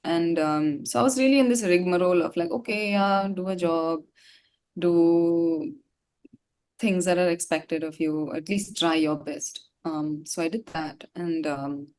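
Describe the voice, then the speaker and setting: Low-pitched woman, candid and reflective. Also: measured pace, darkish tone. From India. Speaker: musician being interviewed. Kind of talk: personal storytelling in an interview.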